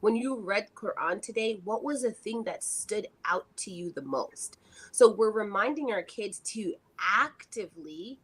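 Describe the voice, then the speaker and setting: A woman's voice: mid-range voice, animated, a little slow, a bit bright, husky, poor audio. A middle-aged woman co-hosting a casual livestream chat, speaking in an earnest, reflective way. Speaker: co-host.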